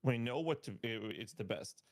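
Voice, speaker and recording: A man with a gravelly, fry-laced voice at a middling pitch talks casually on a podcast. He tells his stories with animated energy and laughs often between lines. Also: normal speed. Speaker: podcast guest.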